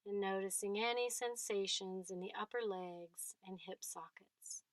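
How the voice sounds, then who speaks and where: Female meditation guide, very slow delivery, gentle phrasing with generous pauses, dry studio-like sound. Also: mid-range voice, no room sound, poor audio. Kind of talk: guided body scan meditation.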